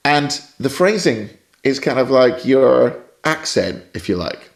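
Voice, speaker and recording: A man's voice: mid-range voice, very animated, a little slow, vocal fry. A man from Scotland with a British accent, a guest jazz saxophone teacher giving thoughtful, explanatory answers in a podcast interview and wandering off on tangents.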